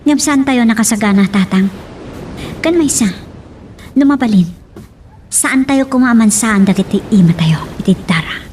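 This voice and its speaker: Anxious young Ilocano daughter, acted by a woman, fairly high. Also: animated, moderate pace, long pauses, darkish tone, a little hiss or hum, close to an everyday voice. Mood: anxious, urgent. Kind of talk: Ilocano radio drama acting.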